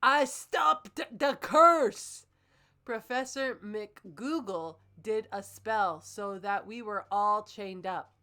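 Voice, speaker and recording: A woman's voice: mid-range voice, drawn-out words, sharp tone. A woman hosting a comic reading, moving between dramatic narration and casual joking commentary. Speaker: host reading and critiquing fan fiction.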